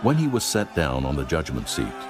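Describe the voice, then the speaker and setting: A man narrating dramatized scripture in a solemn, measured manner. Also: rumbling low, huge pitch swings. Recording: prominent music behind the voice.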